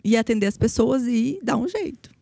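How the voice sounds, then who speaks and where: Lively woman telling a story, dry studio. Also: fairly high pitch, normal speed, vocal fry, no echo. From Paraná, Brazil. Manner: lively storyteller, animated quoting. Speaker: federal public defender, guest. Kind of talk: casual interview chat.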